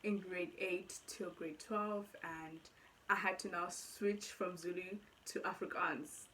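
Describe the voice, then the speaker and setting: A woman's voice: lowish voice, steady tone, takes it slowly, fairly bright tone, a little hiss or hum, rough recording. A woman in her twenties from Nigeria with an accent that blends Nigerian and South African, casually telling her own story as a vlogger, chatty and often correcting herself mid-thought. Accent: mixed Nigerian and South African. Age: 20s. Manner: chatty, self-correcting, thinks aloud.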